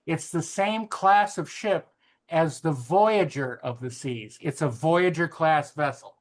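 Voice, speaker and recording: A man co-hosting a podcast, chatting casually in a matter-of-fact, halting way, often correcting the others. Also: on the high side. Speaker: podcast co-host. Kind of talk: casual panel banter.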